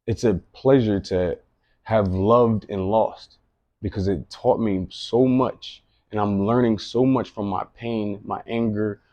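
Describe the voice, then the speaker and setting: A man's voice: fairly low pitch, fairly even intonation, takes it slowly, warm tone, dry recording, dull audio. A man in his late thirties giving an earnest, rambling personal monologue, often repeating himself. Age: late 30s. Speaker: vlogger.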